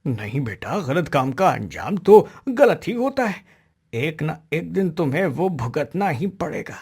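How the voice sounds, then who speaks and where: A man's voice: a little high, sing-song, a little slow, a little mellow, breathy edge, full character voice. A man voicing a hungry, kindly beggar who gives wise advice in a cartoon story. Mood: advising. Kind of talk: Hindi cartoon story acting.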